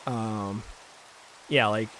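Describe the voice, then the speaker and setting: A man's voice: lowish voice, steady tone, very slow, noisy background. A young Canadian man chatting casually on a live game stream, rambling through stories with lots of fillers and reading chat messages aloud. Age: young adult.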